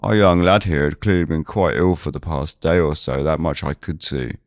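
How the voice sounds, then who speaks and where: A man's voice: extremely low pitch, dry recording, clean studio sound. A man playing a fussy, verbose doctor in an audio drama, speaking in a clinical, pedantic, hedging manner. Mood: assured. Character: fussy, verbose male small-town doctor.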